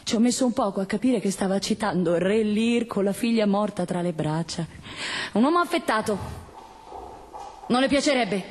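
A woman's voice: mid-range voice, expressive, normal speed, natural voice. An actress playing a troubled woman confined in an institution in a radio drama, dramatic and moving between subdued and imploring. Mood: subdued, wistful. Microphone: stand microphone on a stage. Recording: reverberant hall, clear background noise.

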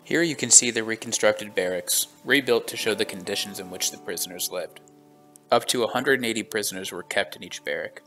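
A man with a fairly low voice reads a scripted voice-over for a walking tour, steady and solemn in his delivery. Soft guitar music plays faintly underneath. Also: brisk. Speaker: travel vlogger narrating a tour.

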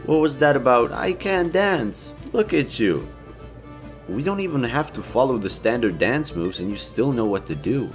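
A young man playing a playful, self-assured elven leader in a romantic audio roleplay, casual, teasing and expressive. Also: mid-range voice, normal speed, faint music behind, close to an everyday voice. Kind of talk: intimate romantic roleplay acting, conversational.

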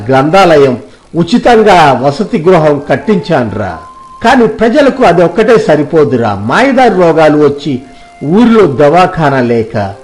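An elderly village patriarch acted by a man speaks in a fairly high voice, earnest and oratorical. He scolds with love, like an idealist in a dramatized moral tale, with music playing underneath. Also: a little mellow, low-quality recording. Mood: earnest pleading. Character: elderly village father, idealistic, scolding yet loving landowner. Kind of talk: dramatized moral story acting.

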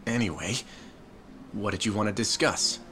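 An actor playing an anxious, polite young lawyer in a video game, earnest and defensive. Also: male voice, lowish voice, normal speed, pushed and bright, faint music behind. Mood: uneasy, defensive. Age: young adult. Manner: flustered, earnest. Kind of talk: video game cutscene acting, English dub.

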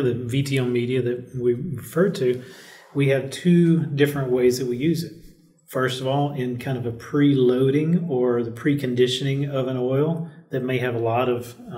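A man in middle age speaks at a medium pitch in a measured technical register. He explains points and steers the panel, his voice ringing a little off the walls of a roomy space. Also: mellow. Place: small conference room, indoors. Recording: echoey meeting room.

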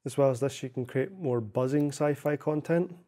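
A young man narrating a software tutorial in a measured, explanatory way. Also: medium pitch, very noisy. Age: young adult. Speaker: software demonstrator.

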